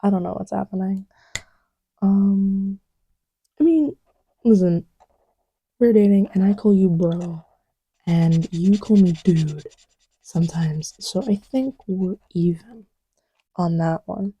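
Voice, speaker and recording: A woman playing a playful, distracted young girlfriend in an intimate ASMR roleplay, murmuring in a halting, teasing way. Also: mid-range voice, mellow, husky, muffled recording. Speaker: audio roleplay voice actor.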